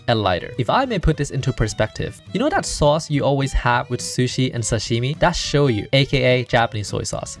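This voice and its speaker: A man from Thailand, hosting his cooking channel in a casual talk-to-camera style, with dry, wry joking asides. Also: medium pitch. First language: Thai.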